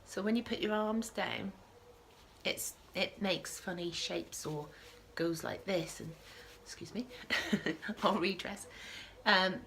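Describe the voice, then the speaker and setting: A woman's voice: mid-range voice, fairly even intonation, slow, a bit bright, slight background noise. A woman hosting a casual knitting podcast from home, sniffling with a starting cold and rambling with frequent self-corrections. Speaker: podcast host.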